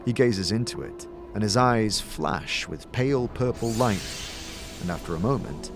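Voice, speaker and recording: A man narrating a fantasy audio drama in the second person, with measured, theatrical storytelling. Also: fairly low pitch, animated. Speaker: narrator, game master. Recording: music bed behind the voice. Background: music.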